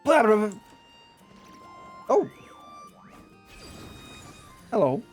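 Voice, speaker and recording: A man's voice: sits high, big pitch swings, drawn-out words, slightly rough. A man livestreaming a game with casual, offhand commentary, slangy and given to swearing. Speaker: game streamer. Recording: game music behind the voice. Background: game music.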